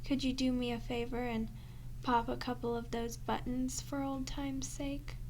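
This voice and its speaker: A woman acting a starving, captive vampire in an ASMR roleplay, proud and flirtatious, with teasing sarcasm that often drops into breathy murmurs. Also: on the high side, level tone, measured pace, darkish tone, a little hiss or hum. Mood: sarcastic, hungry.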